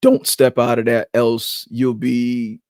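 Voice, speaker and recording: A man co-hosting a podcast talks loosely and reflectively, circling back and restarting his thoughts. His voice sits at a middling pitch. The room sounds dry and close. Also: little pitch movement, takes it slowly, darkish tone, no echo. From Queens, New York. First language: Arabic. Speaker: podcast co-host. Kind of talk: casual podcast conversation.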